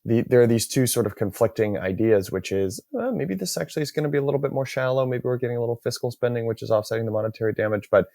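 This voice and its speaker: A man hosting a podcast, talking through market ideas in a measured, hedging way. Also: mid-range voice, creaky, mellow, no echo, studio quality. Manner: measured, hedging, thinks aloud. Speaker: podcast host. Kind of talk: casual market chat.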